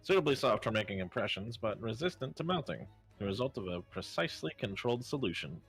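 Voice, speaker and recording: A man voicing an eccentric, self-satisfied gentleman detective in a game fan dub, speaking in a grand, wordy, formal way. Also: fairly low pitch, very animated, fast talker, gravelly, breathy edge, faint music behind, full character voice. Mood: proudly explaining.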